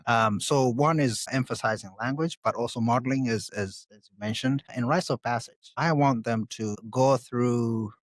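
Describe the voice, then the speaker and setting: A man from Tanzania, a Swahili speaker, talking as a guest on a podcast in a measured, reflective way. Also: mid-range voice, no room sound. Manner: measured and reflective, pausing to pick words. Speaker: podcast guest, social work scholar. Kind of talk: conversational interview. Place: live recording at a conference.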